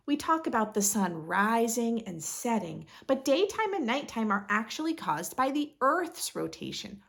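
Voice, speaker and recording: A woman hosts a read-aloud for young children, reading at an unhurried pace with a very lively, swooping melody. Her voice sits slightly high and has a noticeable creak. She is expressive and instructive, speaking straight to her little listeners.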